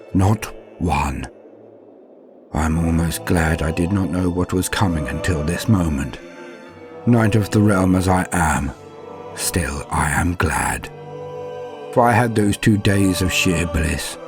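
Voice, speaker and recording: A man performs a dutiful medieval fantasy knight, afraid yet steadfast, in a deep, low voice. He tells his tale as solemn audio drama, with grave, archaic phrasing and dramatic pauses, over faint music. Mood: grim dread, then fearful confession. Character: frightened but dutiful male knight recounting doomed battle. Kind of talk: dramatic first-person audio drama narration.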